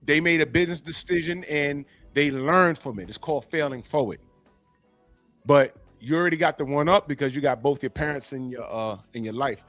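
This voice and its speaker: A man hosting a podcast in a casual, animated and profane way, often acting out quotes as he tells a story. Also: fairly high pitch, fairly quick, soft background music. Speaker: podcast host.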